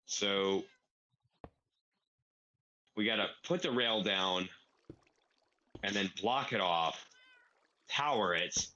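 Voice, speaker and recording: A man's voice: on the low side, expressive, slow, long pauses, sharp tone, highs cut off. A man explaining a game build casually, step by step, thinking aloud as he plays. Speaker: player explaining a farm build. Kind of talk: casual gaming tutorial.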